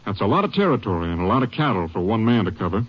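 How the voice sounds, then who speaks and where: A man acting a practical Texas Ranger captain in a 1950s radio drama, with folksy, deliberate authority. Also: lowish voice, sing-song, breathy edge. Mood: thoughtful. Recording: muffled old radio recording.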